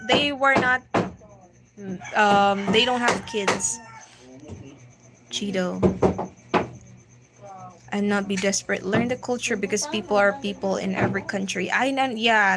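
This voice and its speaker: Woman in her twenties, Filipino accent, slow relaxed pace, chatty livestream host, steady hiss behind. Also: on the high side. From Philippines. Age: 20s. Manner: chatty, reads viewer questions aloud, frequent restarts. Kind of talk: casual live Q&A reading chat. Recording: noisy home livestream.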